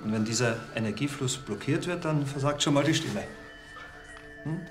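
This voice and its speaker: A man's voice: medium pitch, expressive, lightly acted. Man playing a chatty naturopath over music. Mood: soothing, breezy. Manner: chatty, unhurried explaining. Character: breezy, soothing male naturopath treating a patient. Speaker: alternative practitioner. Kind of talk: TV crime comedy acting.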